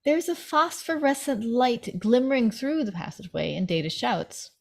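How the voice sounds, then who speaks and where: A woman's voice: on the high side, lively intonation, normal speed, vocal fry, a little mellow. A woman reading stage directions from a screenplay as scripted narration at a table read.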